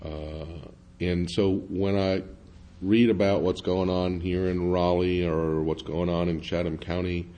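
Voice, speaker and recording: Deep-voiced hesitant man on a panel. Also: flat delivery, unhurried, dark tone. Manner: hesitant, many fillers and restarts. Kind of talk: public forum discussion.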